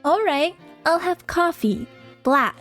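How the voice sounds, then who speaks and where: Nonchalant, teasing schoolgirl for a visual novel, read by a woman, higher-pitched voice. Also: lively intonation, slow pace, a bit bright, faint music behind, heavily acted. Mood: coolly teasing.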